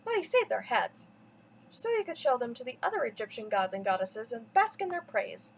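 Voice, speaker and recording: A woman's voice: fairly high pitch, sing-song, moderate pace, gravelly, slight background noise. A woman reading a mythology story aloud for children as a storyteller, with dramatic, theatrical emphasis. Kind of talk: expressive bedtime story read-aloud.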